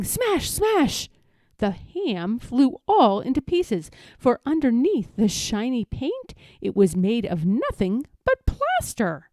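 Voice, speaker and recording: A woman reading a children's story aloud in an expressive, measured, emphatic storytelling manner. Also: a little high, dry recording, clean studio sound. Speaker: storybook reader.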